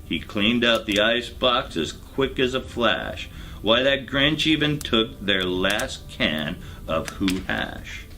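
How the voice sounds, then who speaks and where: Slow, low-voiced male storyteller. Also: animated, pushed and bright. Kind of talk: dramatic storybook narration in rhyme.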